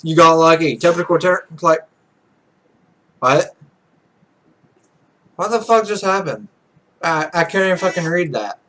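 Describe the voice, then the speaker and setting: Guy doing a cocky young gamer dude from a visual novel, high voice, blunt, grumpy. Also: lively intonation, unhurried, long pauses, fairly bright tone, faint music behind. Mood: disgruntled. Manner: blunt, brash, sweary.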